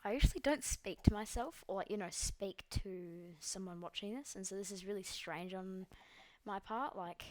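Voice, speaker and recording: A woman's voice: medium pitch, moderate pace, darkish tone, low-quality recording. A young Australian woman giving casual, rambling commentary over her gameplay, full of ums and likes and the odd swear. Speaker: gaming video creator.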